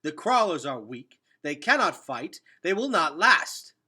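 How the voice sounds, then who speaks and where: A man's voice: fairly high pitch, big pitch swings, measured pace, sharp tone, breathy edge, voice pushed up, heavily acted. A man voicing a haughty young nobleman, angry and scornful, with formal, contemptuous phrasing for a children's storybook. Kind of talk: children's novel read-aloud.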